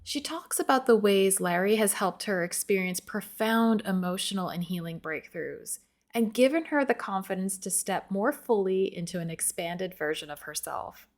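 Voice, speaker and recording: A woman hosting a podcast, reading an enthusiastic introduction and then chatting warmly with her guest. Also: mid-range voice, normal speed. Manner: enthusiastic, gushing. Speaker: podcast host. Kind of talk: scripted intro then casual interview.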